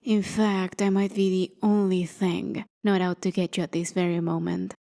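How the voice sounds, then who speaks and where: A woman's voice: fairly low pitch, level tone, moderate pace, creaky, mellow. A woman playing an exasperated, sarcastic gambling deity who scolds in a theatrical way, in a fantasy ASMR roleplay.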